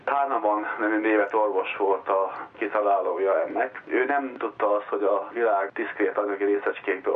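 A man's voice: lowish voice, slightly rough. A man explaining things as an interview guest, a professor with a sceptical, argumentative manner. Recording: muffled, narrow-band phone-like radio line.

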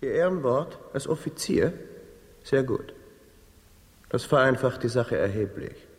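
An actor playing a cold, accusing gentleman in a radio play, speaking in a formal, cutting, controlled way. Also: mid-range voice, expressive, unhurried, in a large hall.

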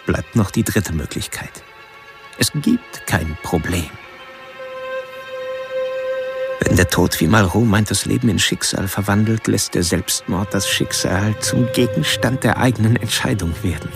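An actor playing a self-absorbed serial killer who delivers solemn, pompous philosophical monologues in a radio drama. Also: male voice, lowish voice, normal speed, gravelly, over music. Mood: grandiose, brooding.

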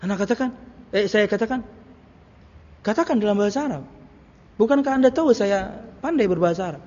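High-voiced preacher in a reverberant hall. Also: male voice, animated, fairly quick, darkish tone. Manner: conversational, repeats phrases and asks rhetorical questions. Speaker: Islamic preacher. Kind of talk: religious lecture to a congregation, with anecdotes. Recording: muffled, reverberant hall recording.

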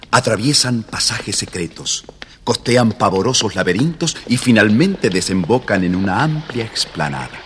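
A man's voice: lowish voice, fairly bright tone, in a large hall, a little hiss or hum. A man narrating a children's fairy tale, phrasing it carefully and dramatically. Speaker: storybook narrator.

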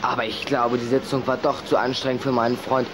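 A man's voice: mid-range voice, little pitch movement, a little fast, lightly acted. Guy playing cheeky careful kid, casual n teasing, radio play, kinda noisy recording. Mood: nervous, placating. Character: cautious, cheeky young boy, placating and quick-witted. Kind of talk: German children's radio play acting.

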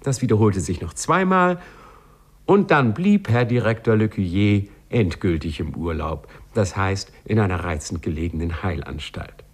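A man's voice: low voice, lively intonation, measured pace, husky, exaggerated voice. A man playing a sly, wry narrator who addresses the listener in a 1950s German radio play. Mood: wry, dramatic.

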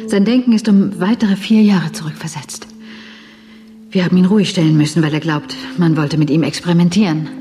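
A woman playing a composed, firm ship's biomedic in a German radio drama, explaining clinically in a husky voice. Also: lowish voice, brisk, natural voice. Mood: urgent, concerned.

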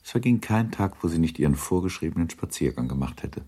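A man narrating a period novel in a radio drama, measured and formal. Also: low-pitched, lively intonation, mellow, husky, exaggerated voice.

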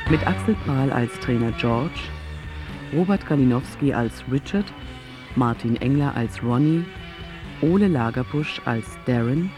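A man reading radio drama credits as an announcer in a measured, formal way. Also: medium pitch, lively intonation. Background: music.

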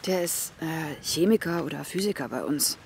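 A woman's voice: on the low side, animated, moderate pace, vocal fry, a little mellow, slight background noise. An actress playing an observant, vivid-minded young woman, in dialogue and dramatic narration for a radio drama. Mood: thoughtful. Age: young adult.

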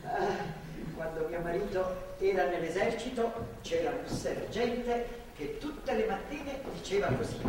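An actress playing an older, motherly woman guarding a captive in a stage drama, folksy, stubborn and rambling. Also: fairly low pitch. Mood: chatty, patient. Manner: folksy, rambling, matter-of-fact. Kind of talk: theatrical stage drama acting. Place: theatre stage, picked up from afar. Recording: echoey hall, distant mic, noisy ambience.

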